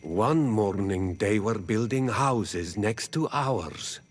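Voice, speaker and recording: A man voicing a weary, stoic native village chief in a video game, with a husky voice and a grave, halting delivery. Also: fairly low pitch, lively intonation. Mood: resigned, grave. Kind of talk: video game dialogue acting.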